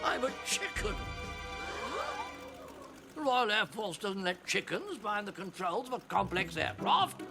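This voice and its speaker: A pompous old military rooster from an animated film, British and full of formal bluster. The voice is high and swings widely in pitch, with music playing clearly underneath. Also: male voice, a little slow, long pauses, heavily acted. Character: pompous old male rooster, former air force mascot. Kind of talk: animated film character acting. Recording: loud music behind the voice.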